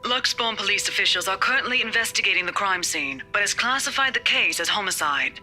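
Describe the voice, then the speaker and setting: An actress playing a formal, measured television news reader in a horror game. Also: female voice, medium pitch, animated, bright, forceful tone, soft background music. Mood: formal, serious.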